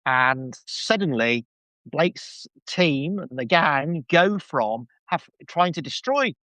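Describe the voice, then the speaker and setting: Chatty, enthusiastic man with lively, swooping intonation. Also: fairly high pitch, slow, dry recording. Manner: chatty, enthusiastic, rambling asides. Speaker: podcast guest. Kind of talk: casual fan review chat.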